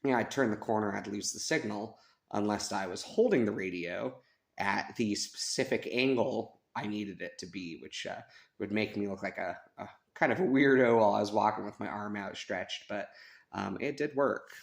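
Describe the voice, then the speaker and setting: A man with a fairly low voice and a lot of creak gives a casual hands-on review. He talks in a chatty, rambling way with a self-deprecating streak, like a hobbyist reviewer. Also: moderate pace. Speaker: radio reviewer. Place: sunroom at a house.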